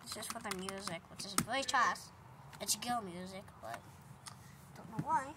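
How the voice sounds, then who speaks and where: A woman's voice: mid-range voice, normal speed. A young girl filming a casual phone vlog, rambling and apologizing to her viewers as she shows off a toy car in a garage. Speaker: vlogger showing a toy ride-on car. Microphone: handheld phone held close. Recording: loud background noise.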